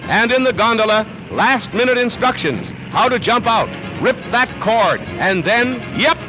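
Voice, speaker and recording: High-pitched American 1940s newsreel man. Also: expressive, measured pace, low-quality recording. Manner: dramatic, punchy announcer delivery with jaunty wordplay. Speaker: newsreel narrator. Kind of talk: 1940s newsreel narration, scripted. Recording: vintage 1940 newsreel film soundtrack. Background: music.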